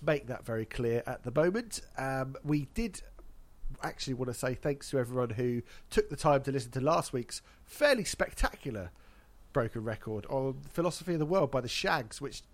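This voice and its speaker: A man's voice: mid-range voice, expressive, normal speed. A man hosting a casual podcast, congested from hay fever, wry and chatty. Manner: wry, chatty, laughs at own jokes. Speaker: podcast host.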